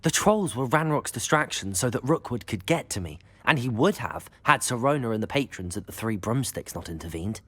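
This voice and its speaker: An earnest student hero in a fantasy game. His medium-pitched voice is a touch husky and animated. He speaks politely and with conviction. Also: a little fast, few pauses. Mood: urgent earnest. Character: earnest, brave teenage wizard student.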